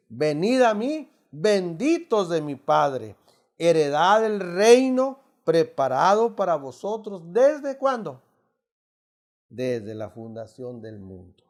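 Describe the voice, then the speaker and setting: A man's voice: a little high, a little mellow. A middle-aged man from Mexico with a Mexican accent, teaching an adult Bible study in an emphatic, preacherly way, constantly addressing the listeners as brothers. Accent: Mexican Spanish.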